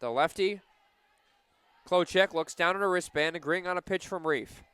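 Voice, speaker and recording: A man with a fairly high, very clean voice calls softball on the radio. He keeps a measured play-by-play flow and lifts it for big plays. The sound is close and dry. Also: smooth, dry recording. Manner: excited on big plays, measured between them. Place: live at a softball game.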